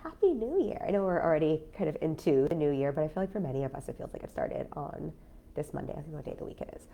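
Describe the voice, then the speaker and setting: A business coach with a cold, talking casually on a live stream in a nasal, stuffy voice with lots of fillers. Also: female voice, low voice, expressive, fast talker, gravelly, lo-fi sound, slight background noise. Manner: chatty, frank, many fillers. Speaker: business coach and podcast host.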